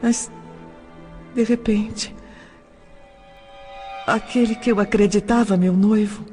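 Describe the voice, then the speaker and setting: An actress playing an emotive young woman recalling love and betrayal in a dramatised audio novel, with measured, theatrical delivery. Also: medium pitch, breathy edge. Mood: wounded, bitter. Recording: long reverb, music behind.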